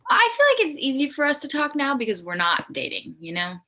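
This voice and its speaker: Woman as a bright, animated awkward teenage girl. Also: high-pitched, fairly quick, exaggerated voice. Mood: awkward, relieved. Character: awkward, apologetic sixteen-year-old girl. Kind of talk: comedy script table read.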